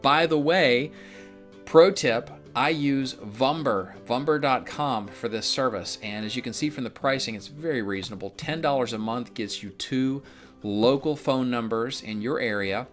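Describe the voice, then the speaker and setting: A man's voice: mid-range voice, normal speed, fairly bright tone, soft background music. A man from Memphis giving a conversational instructional walkthrough in a casual, explanatory way, as a real estate instructor. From Memphis, United States. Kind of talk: conversational instructional narration of a script.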